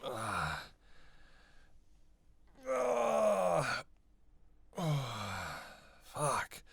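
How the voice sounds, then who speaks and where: A man playing a witty, teasing, world-weary vampire in a roleplay audio drama, sarcastic and playful, with drawn-out groans and sighs. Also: medium pitch, gravelly, fairly bright tone, no echo, heavily acted. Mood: restless, mocking.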